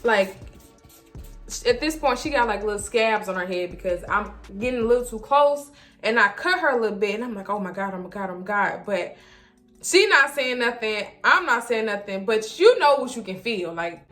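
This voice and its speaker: A young woman telling a casual story to viewers in an animated way, acting out her own reactions and quotes as she goes. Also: on the high side, moderate pace, faint music behind. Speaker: vlogger.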